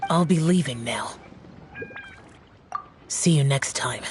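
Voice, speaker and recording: A man's voice: mid-range voice, takes it slowly, a little hiss or hum, natural voice. A man playing an aloof, reserved young immortal in a video game, speaking in a curt, solemn, formal way. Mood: measured, detached. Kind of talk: English video game voice acting.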